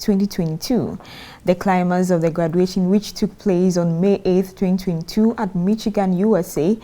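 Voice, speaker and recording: A woman reading a news report in a formal, measured way. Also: lowish voice, warm tone. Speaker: news anchor.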